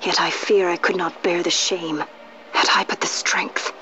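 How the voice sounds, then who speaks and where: A woman's voice: on the low side, steady tone, unhurried, background noise, lightly acted. A woman playing a proud, anguished young princess in a fantasy video game, speaking formally and with restraint. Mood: troubled, bitter. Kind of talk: formal, archaic video game drama acting. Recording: slightly muffled game audio.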